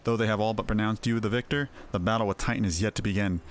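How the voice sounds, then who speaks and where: A man's voice: fairly low pitch, fairly even intonation, quick pace, slight background noise, natural voice. A man voicing a serious, cautious adviser in a fantasy game, with formal and composed speech. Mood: sober, cautioning. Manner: formal, sober, articulate. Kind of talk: voiced game dialogue.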